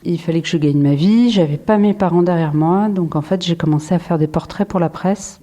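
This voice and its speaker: A woman in her forties from France talks about her life and work in an unhurried interview. Her voice sits slightly low and sounds warm and mellow. She hesitates often, restarting phrases and filling gaps as she thinks aloud. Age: 40s. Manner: hesitant, many fillers and restarts. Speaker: photographer, interview guest. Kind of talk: reflective interview answers.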